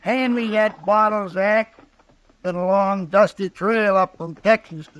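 A man's voice: sits high, steady tone, a little slow, fairly bright tone, muffled recording. A man playing a rowdy drunken Texas trail cowboy with a folksy Western American drawl in an old-time radio western. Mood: boisterous, drunk.

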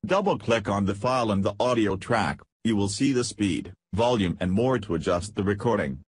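Husky, low-voiced man narrating a tutorial. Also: animated, normal speed, no room sound. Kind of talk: scripted software tutorial narration.

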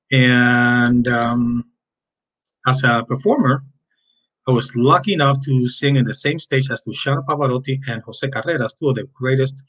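A man's voice: medium pitch, a bit bright, low-quality recording. A man in his fifties from Cuba with a Cuban Spanish accent, a native Spanish speaker introducing himself as a Spanish teacher to his students in a chatty, friendly way. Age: 50s. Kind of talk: recorded class introduction over slides.

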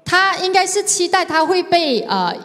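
A woman's voice: very high-pitched, big pitch swings, moderate pace, a bit bright. A woman in her thirties with a Malaysian Mandarin accent, interpreting a church sermon phrase by phrase into a handheld mic. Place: large church hall, indoors, on stage. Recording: echoey hall with long reverb.

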